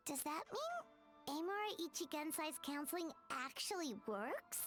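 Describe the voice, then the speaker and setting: A woman voicing a tiny, chatty, excitable female companion in a video game, who talks about herself in the third person. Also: high voice, unhurried, soft background music, heavily acted. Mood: puzzled. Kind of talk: game dialogue.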